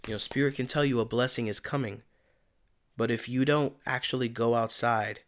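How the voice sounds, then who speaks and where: A man giving a casual spiritual tarot reading in a soft, meandering, reflective way, his voice tired and giving out. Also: mid-range voice, fairly even intonation, normal speed. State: voice giving out, tired by day's end. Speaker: tarot reader and channeler.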